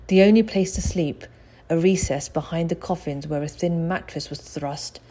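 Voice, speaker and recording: A woman narrates a children's story from a script. Her voice sits low for a woman, with a mellow, warm tone, and she reads expressively as an audiobook narrator. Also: moderate pace. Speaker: audiobook reader.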